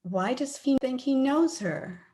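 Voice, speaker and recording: A fairly high female voice of an actress plays a worried grown daughter in an old-time radio drama. She speaks very slowly and hesitantly, fearful but gentle. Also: lively intonation. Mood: anxious.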